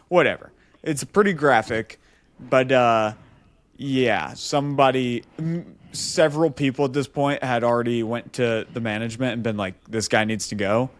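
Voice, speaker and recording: A man's voice: mid-range voice, no echo. A man co-hosting a podcast, telling a story casually and animatedly, acting out the dialogue as he goes. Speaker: podcast co-host.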